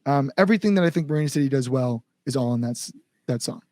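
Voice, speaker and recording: A man's voice: medium pitch, animated, fast, darkish tone, dry recording. A man speaking casually as an interview guest, earnest and rambling, repeating words for emphasis. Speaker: band frontman and songwriter, podcast guest. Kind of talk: casual podcast interview chat.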